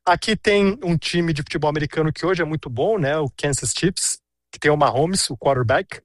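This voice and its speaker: A man in his thirties reports from a city street in a chatty, storytelling way, full of asides. His voice sits a little high. The sound is dry and close. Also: a little fast, no echo. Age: 30s. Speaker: reporter on location. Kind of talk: casual on-location report.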